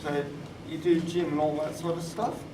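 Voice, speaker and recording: Male, twenties, high voice, explanatory panel speaker; recorded far from the mic in an echoey room. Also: flat delivery, moderate pace. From born in Thailand, moved to Australia. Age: 20s. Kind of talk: personal talk on a live panel podcast. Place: conference room, live event. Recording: roomy, distant mic, clear background noise.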